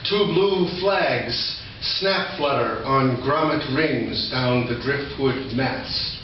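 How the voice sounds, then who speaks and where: An older man presents his poetry at a live reading. His voice sits at an average pitch. It comes from far off in a very echoey space, with loud noise over the recording. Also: takes it slowly. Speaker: poet. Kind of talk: poetry reading with informal spoken introduction. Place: indoor reading venue, recorded from a distance. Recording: echoey room, distant mic, dull, noisy.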